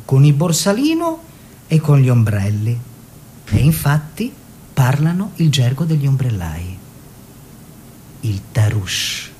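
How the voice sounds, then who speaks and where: Eager young painter, highly animated. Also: male voice, a little hiss or hum. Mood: proud, confiding. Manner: expressive, deliberate, savouring short phrases. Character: eager young bourgeois painter fleeing the family business. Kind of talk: theatrical radio monologue.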